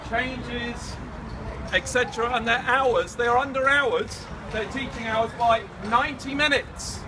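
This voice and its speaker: A man speaking as a union branch secretary, addressing an outdoor protest without a microphone in a loud, projecting, repetitive way. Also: extremely high pitch. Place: outdoors at a college protest, street traffic nearby. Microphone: distant camera. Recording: distant voice with street noise. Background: traffic and street ambience.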